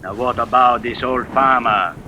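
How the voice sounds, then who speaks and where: A man's voice: medium pitch, moderate pace, pushed and bright. A man playing a brusque, impatient German military man in an old-time radio drama, curt and haughty. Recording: dull, faintly noisy old radio recording.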